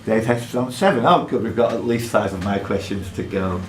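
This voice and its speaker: A man hosting a live quiz in a pub, reading questions out deliberately and adding jokey asides. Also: lowish voice, lively intonation. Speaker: quizmaster. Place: indoor pub. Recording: echoey pub room with background noise.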